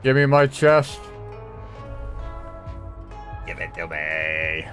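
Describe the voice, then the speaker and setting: A man's voice: low-pitched, sing-song, drawn-out words, fairly bright tone. A man giving casual gameplay commentary, joking and muttering to himself as he plays. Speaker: gamer narrating own playthrough. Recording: game music behind commentary.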